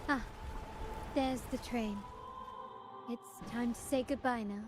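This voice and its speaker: Slow, cryptic mysterious woman. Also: fairly high pitch, fairly even intonation, music in the background. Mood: mysterious farewell. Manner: cryptic, poetic, measured. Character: enigmatic woman speaking in riddles of fate. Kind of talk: video game voice acting.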